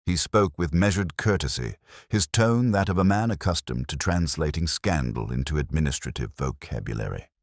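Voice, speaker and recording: A very deep-voiced, middle-aged detective narrates his own case. He is brooding and scholarly, phrasing things with literary solemnity. His intonation moves expressively within that low register, and the recording is dry and close. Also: expressive, moderate pace, no room sound. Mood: brooding, reflective. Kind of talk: scripted first-person detective narration.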